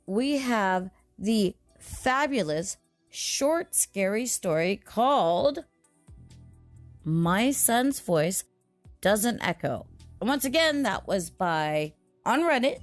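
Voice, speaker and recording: Woman host just chatting to listeners, kinda rambly, slow pace, bit of music behind. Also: mid-range voice, no echo. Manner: chatty, digressive. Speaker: host and story narrator. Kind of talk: casual rambling sign-off chat to listeners.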